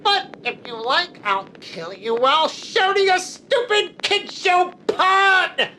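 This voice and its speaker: A woman's voice: very high voice, slow, pushed and bright, a little hiss or hum, exaggerated voice. A woman voicing a deranged, foul-mouthed villain in a kids' cartoon parody, mocking and theatrical. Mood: gleeful, maniacal.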